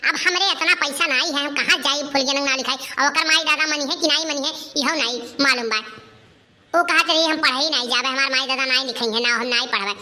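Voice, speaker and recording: A woman's voice: high-pitched, steady tone, fast, sharp tone. A woman playing an exasperated, hot-tempered village mother in a cartoon, scolding and complaining with an Awadhi accent. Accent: Awadhi, eastern Uttar Pradesh. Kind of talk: dialect comedy cartoon voice acting.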